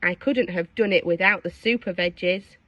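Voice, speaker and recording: A woman's voice: lowish voice, normal speed, no room sound, highs cut off, pitched below the performer's own voice, lightly acted. A woman voicing a confident, unflappable male potato superhero in a children's storybook, in a heroic manner.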